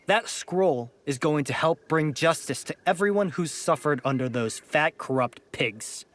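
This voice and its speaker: An actor playing a brash, flirtatious outlaw who fights for the poor, in video game cutscene style. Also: male voice, fairly high pitch, animated, unhurried, fairly bright tone. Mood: bitter, indignant. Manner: brash, teasing, foul-mouthed. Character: cocky roguish male outlaw, flirtatious, righteous toward the poor. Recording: music behind the voice. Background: music.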